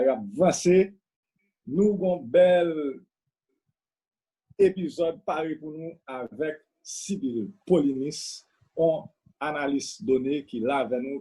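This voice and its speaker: A man hosting a live webinar, introducing the guest in a halting way and slipping into English phrases. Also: a little high, warm tone, slightly rough, poor audio. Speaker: host.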